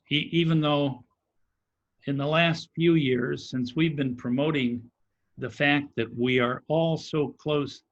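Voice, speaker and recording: Guy raised in mexico, spanish first language, halting with lots of ums, voice cracking a bit, muffled call audio. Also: medium pitch. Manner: halting, many fillers and restarts. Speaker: former district governor. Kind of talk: video call panel answer.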